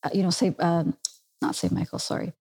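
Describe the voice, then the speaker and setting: Breathy-voiced woman therapist explaining gently. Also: on the low side, expressive, a little fast. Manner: thoughtful, explains terms patiently. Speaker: therapist guest. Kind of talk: casual conversation.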